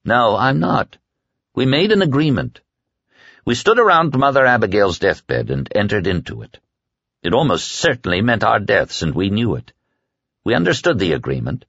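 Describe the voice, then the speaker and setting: A man voicing a calm, stern man in an audiobook, arguing quietly and coldly to hold friends to an agreement. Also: fairly low pitch, gravelly, husky, no echo, highs cut off, pitched above the performer's own voice, exaggerated voice. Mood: firm. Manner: quiet, cold, measured argument.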